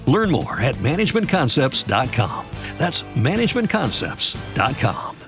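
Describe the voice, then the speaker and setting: A man's voice: mid-range voice, sing-song, normal speed, over music. A man reading a scripted advertisement as a commercial announcer.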